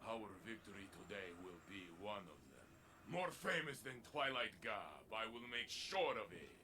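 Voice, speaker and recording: An actor playing a proud, noble robot warrior in a video game cutscene, speaking in a grave, declamatory, measured way. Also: male voice, on the low side, sing-song, bright, forceful tone, slight background noise. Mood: solemn, proud. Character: battle-hardened male robot warrior, solemn, proud, noble protector.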